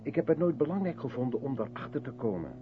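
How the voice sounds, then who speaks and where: A man's voice: medium pitch, expressive, fast talker, lightly acted. An actor playing a guarded, self-doubting intellectual man in a radio play, brooding and hesitant as he questions himself. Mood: guarded, reflective. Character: withdrawn, self-doubting mixed-race South African man, guarded intellectual. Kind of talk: 1970s Dutch radio play acting. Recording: tinny band-limited old radio recording, faint hiss.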